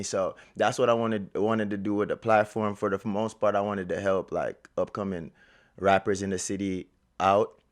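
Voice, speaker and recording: A man from Jamaica with a Jamaican accent, a guest chatting casually in an interview with slangy, laid-back talk. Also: on the low side. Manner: slangy, laid-back, leans on "you feel me". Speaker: guest, media platform owner.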